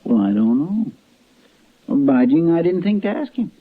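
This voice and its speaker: An actor playing a simple, earnest American marshal's helper with a rustic drawl in a radio western. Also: male voice, on the high side, sing-song, moderate pace, husky. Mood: earnest, folksy. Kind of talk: old-time radio western acting. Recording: tinny, narrow vintage radio recording.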